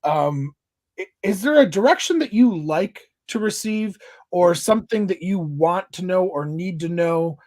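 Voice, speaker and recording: Playful host with a lively voice. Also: mid-range voice, measured pace, dry recording, clean studio sound. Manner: playful, joking interviewer. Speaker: podcast host. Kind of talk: casual interview chat.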